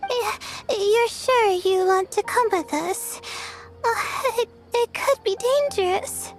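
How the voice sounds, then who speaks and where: A woman's voice: extremely high pitch, slow, faint music behind. An actress playing a timid, sleepy young woman scholar who stammers and hesitates, in a game cutscene. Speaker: student researcher. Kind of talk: video game cutscene voice acting.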